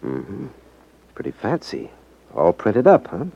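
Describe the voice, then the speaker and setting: An actor playing a sly, cool, confident trickster who feigns innocence in a 1950s radio drama. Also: male voice, deep voice, wildly swooping pitch, slow pace, creaky, breathy edge, full character voice. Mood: sly, self-assured. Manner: coy, unruffled, feigns innocence. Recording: muffled 1950s radio recording.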